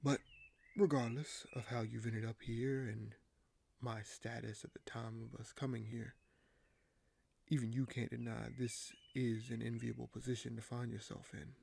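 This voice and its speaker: A man playing a weary, paranoid, dryly candid king in an audio roleplay, speaking in measured, formal phrases with hesitant pauses. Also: medium pitch, little pitch movement, gravelly, darkish tone. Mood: guarded, wry. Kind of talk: audio roleplay monologue to a silent listener.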